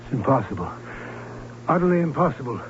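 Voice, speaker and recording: An actor playing an excitable, commanding Baron in a radio drama, blustering and theatrical in his delivery. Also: medium pitch, brisk, lightly acted. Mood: alarmed. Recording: dull old radio broadcast with faint hum.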